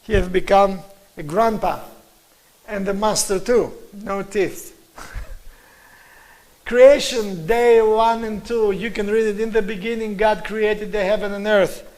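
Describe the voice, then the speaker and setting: Man, Greek accent, high pitch, scripture lecture, emphatic repetition, non-native halting delivery. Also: steady tone, a little mellow, rough recording. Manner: emphatic, repeats key words, halting English. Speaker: Bible teacher giving a presentation.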